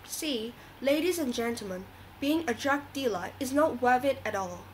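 Woman as female radio host, high-ish pitch, scripted, earnest, moralizing, some background noise. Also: expressive, normal speed, poor audio.

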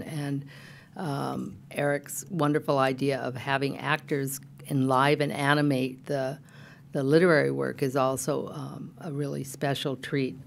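A woman in her fifties hosts an event, giving an informal, halting, wry welcome speech from a stage podium. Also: a bass voice, vocal fry, slight background noise. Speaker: event host giving opening remarks. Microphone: podium microphone.

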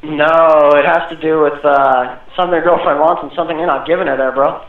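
High-pitched man on a tinny phone line. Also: fairly even intonation, echoey room. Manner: slangy, easygoing, halting. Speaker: hardware store employee on the phone. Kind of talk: casual phone conversation.

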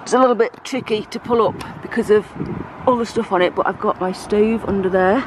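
A British woman in her thirties giving a relaxed, chatty walkthrough of her car, filmed on a handheld camera. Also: medium pitch, a little fast, noisy background. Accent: British English. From United Kingdom. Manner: chatty, relaxed, lots of fillers. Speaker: vlogger. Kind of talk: casual show-and-tell walkthrough. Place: inside and around a car, outdoors by the sea.

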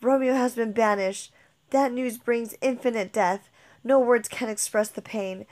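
Brief: woman reading a young Juliet, high-voiced and anguished, declaiming her grief. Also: measured pace, darkish tone, close to an everyday voice. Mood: grieving. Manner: emotional, declamatory. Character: young woman Juliet, grieving, torn, passionate. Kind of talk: dramatic reading of a modernized play.

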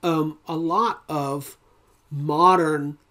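A middle-aged man gives a recorded philosophy lecture from a book-lined home study, talking into his webcam. He takes his time, pausing often and filling the gaps with ums and uhs as he works his way through each idea. His delivery is unhurried and plainly unscripted. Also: a little high, steady tone, pushed and bright. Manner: unhurried, thinking aloud with many ums and uhs. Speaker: philosophy instructor. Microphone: webcam at desk.

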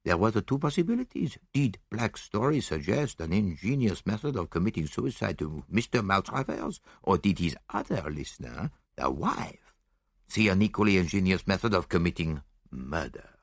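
A man voicing a shrewd, self-assured foreign detective in an audiobook, speaking briskly and precisely with little interjections. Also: deep voice, very animated, dry recording, studio quality, exaggerated voice. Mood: explaining, smug.

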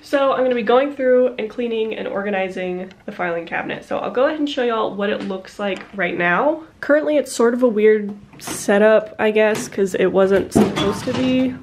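A congested young woman talks to camera in a small home office. Her speech wanders, full of "um" and "like", and she runs a bit short of breath. Her pitch sits at an ordinary middle height for a woman. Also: normal speed, few pauses, a little mellow. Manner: rambling, many fillers like "um" and "like". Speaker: vlogger. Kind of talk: casual vlog chat.

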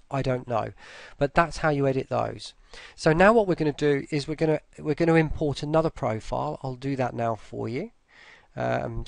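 A man presenting a software tutorial in a casual, informal way, thinking aloud as they go. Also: medium pitch, creaky, dry recording. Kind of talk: casual screen-recorded walkthrough.

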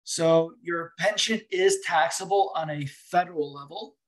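Male webinar expert, middle-aged, slightly higher voice, explanatory and halting, on a video call. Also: low-quality recording. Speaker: financial advisor guest answering questions. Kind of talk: webinar question-and-answer.

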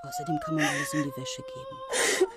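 A woman's voice: very high-pitched, huge pitch swings, brisk, loud music behind the voice, full character voice. A coarse, mocking woman inmate. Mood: insistent. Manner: mocking, coarse, shifting to soothing. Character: brash coarse woman inmate, long-time resident. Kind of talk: 1970s radio play acting.

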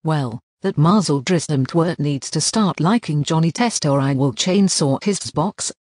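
A woman's voice: low-pitched, unhurried, darkish tone, dry recording, clean studio sound. A synthetic woman's voice playing a bossy, spiteful cartoon villain, delivering a scripted rant in a stilted, scolding way. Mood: scornful, threatening.

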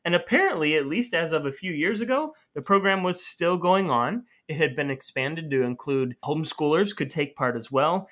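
A man's voice: fairly high pitch, expressive, moderate pace, hardly any pauses, a bit bright. A man co-hosting a podcast in casual banter, with playfully mock-formal phrasing. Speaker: podcast co-host.

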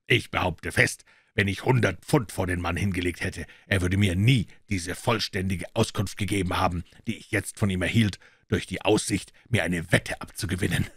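A male reader as a courteous audiobook detective. The voice is fairly low and close and dry, measured, polite and quietly self-assured. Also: breathy edge, dry recording, exaggerated voice. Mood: confident.

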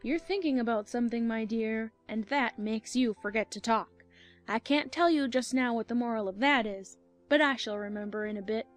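A woman acts out a storybook duchess, affected and sententious. She gushes in a fairly high voice, with soft music behind her. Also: moderate pace, natural voice. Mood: gushing. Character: gushing, moralizing, clingy Duchess. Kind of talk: dramatized audiobook acting.

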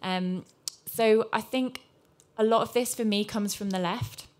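Female panelist, journalist, candid and earnest, flat delivery, table mic. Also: mid-range voice, unhurried. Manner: earnest, candid, with frequent ums. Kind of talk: panel discussion remarks.

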